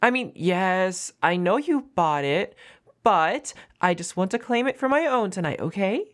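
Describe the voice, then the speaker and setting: A woman's voice: medium pitch, animated, unhurried, lightly acted. A woman voicing a defensive, flustered young half-wolf girl roommate in an audio roleplay, stammering and evasive with a whiny manner.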